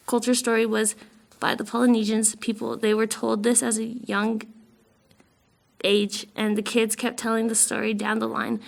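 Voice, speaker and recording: A woman's voice: fairly high pitch, creaky. A young woman student reading a myth aloud for a class, hesitant, with fillers and restarts. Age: young adult. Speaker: student reader.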